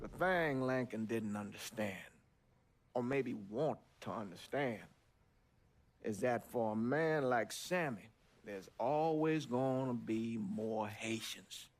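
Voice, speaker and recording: An actor playing a reflective man recounting past events, measured and documentary-like, in a video game. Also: medium pitch, lightly acted. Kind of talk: documentary-style narration in a game.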